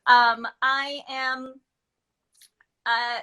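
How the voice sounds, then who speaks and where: A woman in her thirties from the Philippines speaks with a high, bright voice. She chats loosely as an interview guest, laughing often. She talks about her own business and trips over her own sentences. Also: little pitch movement, extremely slow, clean tone. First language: Filipino. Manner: chatty, laughs often, many restarts. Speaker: guest, beauty business owner. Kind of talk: casual interview chat.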